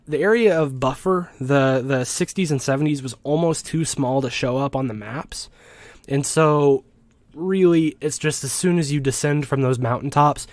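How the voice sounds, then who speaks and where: A man's voice: mid-range voice, dry recording. American man giving informal weather commentary, dry sound. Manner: halting, explanatory, with word repetitions. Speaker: weather forecast host.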